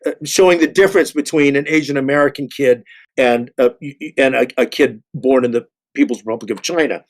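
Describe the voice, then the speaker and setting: A middle-aged man, an actor, talks reflectively in a panel discussion, haltingly, often repeating and restarting his words. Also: medium pitch.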